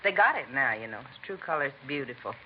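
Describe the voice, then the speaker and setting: A woman's voice: very deep voice, lively intonation, quick pace. A woman playing a talkative, nosy working-class American housewife in a 1950s radio drama, blunt and folksy in manner. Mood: chatty, enthusiastic. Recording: muffled vintage radio recording, faint noise.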